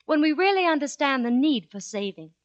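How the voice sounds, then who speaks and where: A woman's voice: sits high, very animated, fairly quick, no echo. A woman giving a scripted 1940s radio sponsor talk. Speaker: sponsor spokeswoman. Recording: dull, dry old radio recording.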